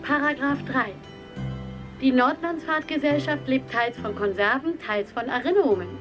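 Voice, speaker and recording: An actress as a high-voiced mock-official announcer. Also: female voice, moderate pace, highs cut off, music in the background, lightly acted. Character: mock-official reader of comic club statutes. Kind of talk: scripted reading in radio drama.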